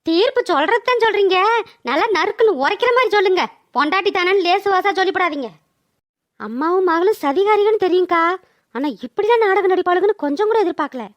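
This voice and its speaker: A woman acts out a gossipy village scold for a cartoon. The voice is extremely high and mocking, in rustic dialect, recorded close and dry. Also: lively intonation, quick pace, dry recording, muffled recording. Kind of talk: Tamil village comedy cartoon dub.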